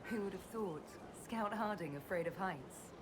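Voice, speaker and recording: An actress playing a composed military leader heroine in a video game, speaking in a calm, direct, probing way. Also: female voice, medium pitch, moderate pace, noisy background, natural voice.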